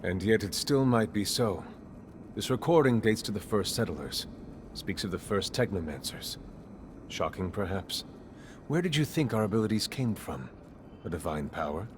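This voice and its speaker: An actor playing a grave, world-weary, middle-aged master of a secret order in a video game, speaking in a measured, instructive way. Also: male voice, fairly low pitch, lively intonation, noisy background, close to an everyday voice. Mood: grave, instructing.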